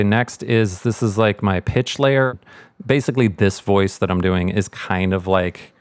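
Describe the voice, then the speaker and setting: A man's voice: deep voice, clean tone, dry recording. A man giving a casual sound-mixing tutorial, thinking aloud informally as he demonstrates.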